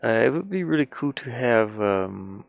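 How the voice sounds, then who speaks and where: Casual male developer, tired and creaky-voiced, rambling half to himself, playful, dry close mic. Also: mid-range voice, very animated, moderate pace, no room sound. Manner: muttering to himself, playful sing-song sounds. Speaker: indie game developer narrating own work. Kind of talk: casual rambling commentary while working.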